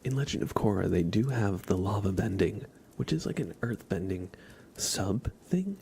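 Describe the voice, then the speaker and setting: A man chatting casually and softly as an ASMR livestream host, often slipping into a whisper. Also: on the low side, expressive, moderate pace, vocal fry, breathy edge. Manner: soft-spoken, often dropping into a whisper. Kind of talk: ASMR, casual rambling chat with live viewers.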